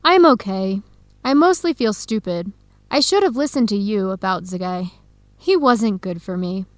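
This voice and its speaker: A woman playing an earnest, heartbroken young American researcher in a radio drama, speaking clearly and conversationally. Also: medium pitch, animated, normal speed, dry recording, close to an everyday voice. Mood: downcast. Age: young adult. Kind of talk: scripted radio drama dialogue.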